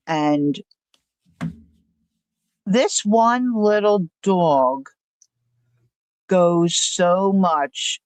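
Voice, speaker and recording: An older woman hosting a livestream, chatting casually and rambling through a story. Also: low voice. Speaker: livestream host. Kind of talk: casual chat.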